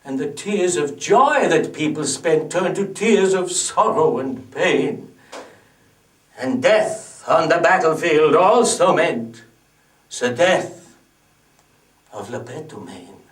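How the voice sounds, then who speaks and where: A man's voice: a little high, expressive, a bit bright. A man playing a proud French music-hall performer of the belle epoque, telling his life as a theatrical rhyming monologue in a French accent. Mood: wistful, mournful. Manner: theatrical, deliberate, dramatic storytelling. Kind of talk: rhyming comic stage monologue. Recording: echoey room, distant mic.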